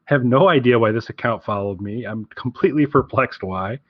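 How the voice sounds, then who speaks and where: A man's voice: mid-range voice, brisk, no echo. A man co-hosting a podcast in casual chat, rambling and correcting himself as he goes. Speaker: podcast co-host. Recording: dull, lo-fi close dry audio.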